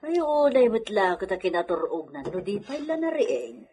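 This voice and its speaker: An animated, suspicious Ilocano mother. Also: mid-range voice, moderate pace, husky. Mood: worried. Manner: probing, insistent questioning. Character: worried, suspicious mother pressing for the truth. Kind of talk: Ilocano radio drama acting.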